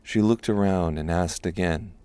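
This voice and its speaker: A man reading scripted narration for a dark fantasy audiobook. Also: low-pitched, normal speed, clear, resonant tone, no room sound.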